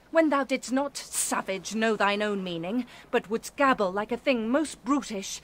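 A woman plays a scornful young daughter of a sorcerer in Shakespearean audio drama. The speech is declamatory and indignant, in a higher register. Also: a bit bright.

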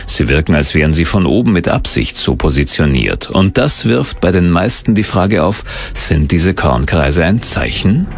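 A man's voice: low voice, very animated, moderate pace, gravelly, hardly any pauses. A man reading scripted narration for a documentary.

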